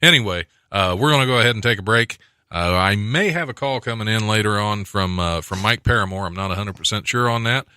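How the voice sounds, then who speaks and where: A man's voice: on the low side, brisk, pushed and bright, clean tone, no room sound, studio quality. A man in his forties hosting a college radio show, telling rambling stories and laughing often. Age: 40s. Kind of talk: casual banter on college radio. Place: radio studio.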